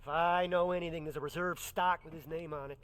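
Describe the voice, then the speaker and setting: An actor playing a loyal, wry adventuring companion in a video game, speaking earnestly with dry humor. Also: male voice, on the high side, steady tone, fast, a bit bright, slight background noise, close to an everyday voice. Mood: wry, weary. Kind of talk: dramatic video game acting.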